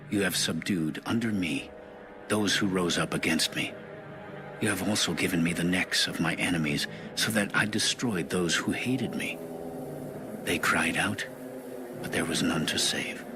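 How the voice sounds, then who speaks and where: Deep-voiced male scripture narrator over music. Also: unhurried. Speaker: audio Bible narrator.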